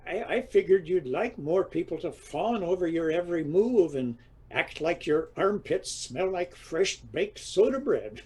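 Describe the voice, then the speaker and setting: A man's voice: sits high, lively intonation, unhurried, darkish tone, slightly rough, heavily acted. A man playing a wry, teasing husband of an aging diva in a comedic audio drama.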